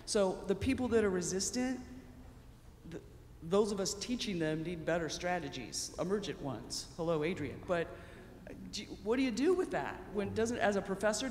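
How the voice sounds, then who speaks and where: A man's voice: high-pitched, normal speed, a little mellow, slight background noise. A man in the audience, a teacher, asking the panel a passionate, rambling question. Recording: echoey hall, long reverb.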